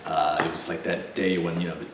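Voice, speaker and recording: A man, a stage actor and musician, chatting casually in an interview and telling stories with lively asides. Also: low voice, fairly quick, slight background noise. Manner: animated storyteller, acts out voices of others in anecdotes. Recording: echoey room, distant from the mic.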